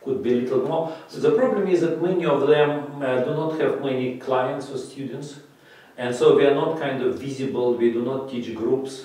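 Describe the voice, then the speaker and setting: A middle-aged man, for whom English is a third language, answering interview questions in halting speech with many fillers. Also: mid-range voice, mellow. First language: not English; English his third language. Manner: halting, many fillers and restarts, gestures while talking. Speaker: interviewee, breathing method teacher. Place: bright office room, indoors. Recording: echoey room from a distance.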